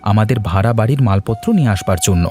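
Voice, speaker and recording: A man's voice: fairly low pitch, racing, mellow, natural voice. A man playing an easygoing adult son in a cartoon family story, good-natured and conversational. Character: easygoing adult son, head of a village household.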